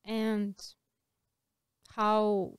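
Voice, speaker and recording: A woman's voice: medium pitch, little pitch movement, very slow, clear, resonant tone, dry recording. A woman in her twenties hosting a podcast and interviewing a guest, speaking close into a studio mic. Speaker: podcast host. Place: small curtained podcast studio. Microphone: close studio mic on boom arm.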